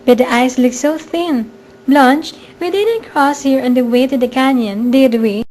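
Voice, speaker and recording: A woman's voice: high-pitched, a little slow, darkish tone. A woman playing a timid, trusting woman in an amateur radio drama, anxious, hesitant and pleading. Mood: worried. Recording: faint background noise, amateur recording.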